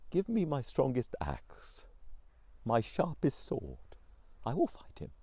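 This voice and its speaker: A man's voice: mid-range voice, wildly swooping pitch, takes it slowly, warm tone, husky, no room sound, exaggerated voice. A man voicing a brave male ruler who resolves firmly to face danger himself. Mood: resolute. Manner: firm, commanding speech.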